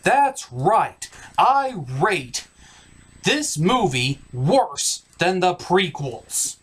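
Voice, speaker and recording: Sardonic review host, highly animated delivery, emphatic scripted comedy narration. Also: very slow, slightly rough.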